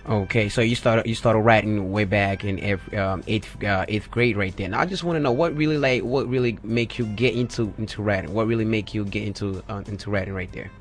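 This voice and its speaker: A man's voice: on the low side, fairly quick, hardly any pauses, soft background music. A man hosting a casual radio interview, rambling and repeating his questions in slangy talk. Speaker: radio show host.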